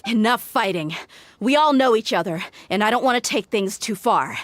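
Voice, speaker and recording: A woman's voice: medium pitch, lively intonation, moderate pace, close to an everyday voice. A woman playing a tough, headstrong young mercenary in a video game, blunt and commanding, fierce yet caring. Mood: stern, threatening. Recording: music behind the voice. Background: game music.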